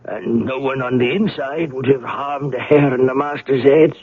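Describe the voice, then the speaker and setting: An actor playing a proper, deferential butler in a 1970s radio mystery play, speaking formally and theatrically. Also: male voice, mid-range voice, steady tone, normal speed, a loud crowd, close to an everyday voice. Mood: insistent, reassuring. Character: male household butler, deferential, proper and anxious to reassure. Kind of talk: 1970s radio drama acting. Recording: muffled narrowband old radio broadcast.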